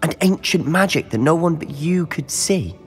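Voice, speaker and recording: A man's voice: a little high, expressive, moderate pace, natural voice. A young man acting as a determined, hot-headed teenage schoolboy wizard in a video game, intense and articulate. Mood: earnest, brooding. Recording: music behind the voice.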